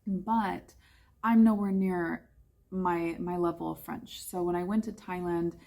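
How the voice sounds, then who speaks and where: A woman's voice: lowish voice, fairly even intonation, a little slow, vocal fry, dark tone. An American woman who teaches English, chatting casually about her life abroad and often correcting herself with fillers. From United States. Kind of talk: casual talking-head vlog.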